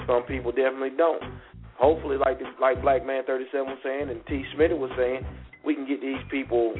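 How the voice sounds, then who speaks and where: A man's voice: mid-range voice, level tone, fast. A man hosting a casual internet talk radio show, laid-back and slangy, joking with his co-hosts. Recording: tinny phone-line internet radio with music.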